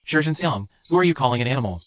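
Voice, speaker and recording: A man's voice: medium pitch, lively intonation, racing, fairly bright tone, a little hiss or hum, natural voice. A man playing an arrogant, rich young rival in a comic drama, mocking and haughty. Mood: sneering. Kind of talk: dubbed comic drama dialogue.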